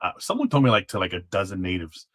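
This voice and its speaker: A man's voice: sits low, big pitch swings, fast talker, fairly bright tone. A man speaking as a guest, casually explaining history in an informal way, feeling his way through what he remembers. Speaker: guest, former Alamo guard.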